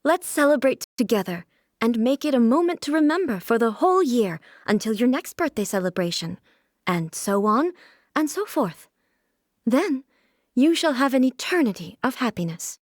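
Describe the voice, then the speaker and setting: An actress voicing a solemn, aloof immortal shogun and swordswoman in a video game, with formal, measured, stately delivery. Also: a little high, big pitch swings, dry recording, studio quality. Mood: warm, formal. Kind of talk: video game character voice lines.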